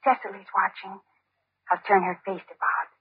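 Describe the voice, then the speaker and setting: A woman's voice: medium pitch, flat delivery, normal speed, breathy edge, dry recording. An actress playing a dreamy, stubborn seventeen-year-old girl in love in an old-time radio drama, with theatrical delivery. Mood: playful turning cross. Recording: muffled old radio recording, narrow band.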